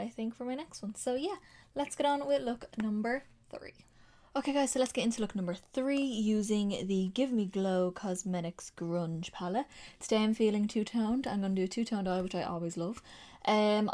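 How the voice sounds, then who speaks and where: A woman's voice: fairly high pitch, darkish tone. A woman talking casually through a makeup tutorial. She is slightly nasal from a sinus infection and rambles with frequent ums and likes. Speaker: beauty vlogger.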